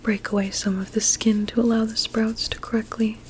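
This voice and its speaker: A woman playing a vain, sinister blueberry doctor in an ASMR medical roleplay, speaking in a soft breathy whisper with soothing menace. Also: a little high, little pitch movement, fairly quick, slight background noise, natural voice. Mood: smugly pleased.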